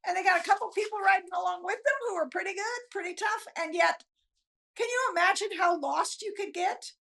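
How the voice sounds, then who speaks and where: Woman, extremely high voice, bright and sharp, folksy casual storyteller, animated interview guest. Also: fairly quick, vocal fry. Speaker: author guest, novelist.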